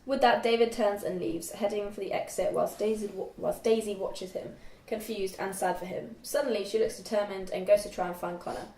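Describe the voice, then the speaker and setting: A young woman reading screen directions as narrator at a script table read, halting and stumbling a little over the text. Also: medium pitch, steady tone, dark tone. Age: young adult. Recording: echoey room.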